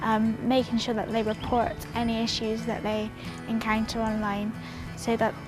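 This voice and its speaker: Woman, kinda hesitant, flat higher voice, music underneath. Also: moderate pace. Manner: hesitant, with fillers and restarts. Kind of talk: recorded testimony. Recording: over background music.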